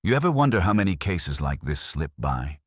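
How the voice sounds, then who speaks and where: A direct, wry investigator's right-hand man from an audiobook mystery, acted by a man. He talks colloquially and probes with lively inflection in a very low, husky voice. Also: brisk, dry recording, clean studio sound. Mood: probing. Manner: colloquial, probing. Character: wry, direct male detective's assistant.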